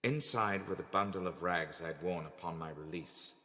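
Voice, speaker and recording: A man's voice: low voice, moderate pace, bright, forceful tone. A man playing a guilt-ridden ex-convict turned town benefactor in a first-person audio drama monologue, questioning and justifying himself in a theatrical, agonized manner. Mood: grave. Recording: long hall-like reverb.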